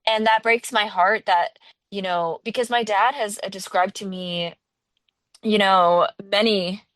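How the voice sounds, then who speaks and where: A woman podcast host from Northwest Florida talks in a loose, conversational way. She fills her sentences with "like" and slips into voices to quote people. Her voice sits mid-pitch and is recorded dry and close. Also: measured pace, dry recording. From Northwest Florida, USA. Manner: animated storyteller, heavy use of "like", acts out quotes. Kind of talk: casual conversational interview.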